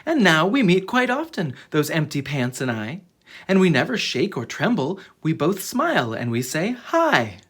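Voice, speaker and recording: A storyteller with a husky voice reading a children's book aloud in a dramatic, expressive way. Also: medium pitch, normal speed. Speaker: children's story reader and channel host.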